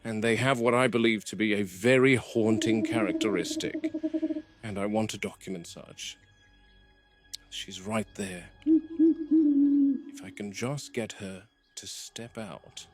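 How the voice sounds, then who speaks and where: Male park warden, played by an actor for found-footage drama: mid-pitched, watchful, explains things plainly and methodically. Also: sing-song, slow, vocal fry, pauses often, faint music behind, heavily acted. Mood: hushed, wary. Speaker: game warden narrating a video log. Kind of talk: found-footage documentary narration.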